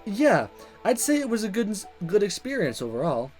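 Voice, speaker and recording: An animated, fairly high-voiced schoolboy talks in visual novel dialogue, played by a man. He sounds earnest, friendly and awkward, easily flustered, with lively swings in pitch. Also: moderate pace, faint music behind.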